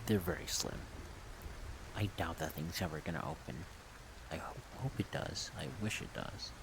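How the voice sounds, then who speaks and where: A man rambling in a soft-spoken ASMR style, unscripted, thinking aloud in halting short phrases. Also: very deep voice, creaky, noisy background. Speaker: ASMR video creator.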